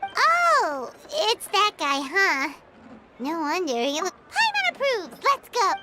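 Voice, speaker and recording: A woman's voice: extremely high pitch, wildly swooping pitch, takes it slowly, full character voice. A woman voicing a small, childlike fairy companion in a video game, perky, bossy and exclamatory. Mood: perky, confident. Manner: speaks of herself in third person, exclamatory. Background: music.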